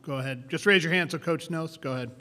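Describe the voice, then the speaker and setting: A man running a press conference calls on reporters in brisk, directive bursts. He talks fast, his slightly raised voice heard from away from the microphone, with a long reverb behind it. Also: a little high, sharp tone. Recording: distant voice in a reverberant room.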